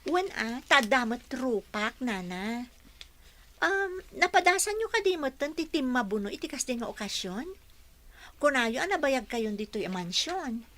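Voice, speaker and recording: A woman's voice: high voice, very animated, measured pace, fairly bright tone, exaggerated voice. A woman acting a dutiful, self-assured young housekeeper in an Ilocano radio drama. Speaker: voice actress in a radio play.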